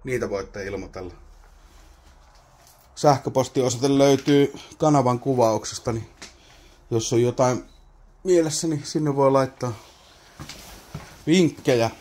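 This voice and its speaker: A man's voice: mid-range voice, long pauses, darkish tone. A man exploring an abandoned wooden cabin, wondering aloud at old objects in casual vlog commentary, recorded on a handheld camera. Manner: muttering, wondering aloud while poking around.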